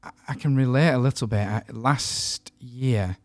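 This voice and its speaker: A man's voice: mid-range voice, measured pace, no echo. A man from the north of England with a northern English accent, hosting a podcast in a chatty, self-deprecating way with plenty of ums. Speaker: podcast host. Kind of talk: podcast interview.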